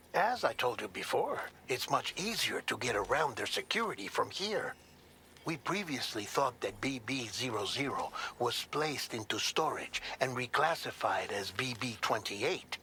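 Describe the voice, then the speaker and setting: An actor playing an earnest investigator in a video game cutscene, briefing a colleague in a deliberate, explanatory way. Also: male voice, medium pitch, sharp tone, a little hiss or hum.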